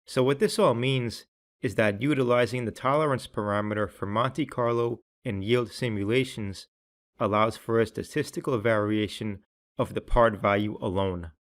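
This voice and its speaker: Man narrating a scripted software tutorial. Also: lowish voice, normal speed.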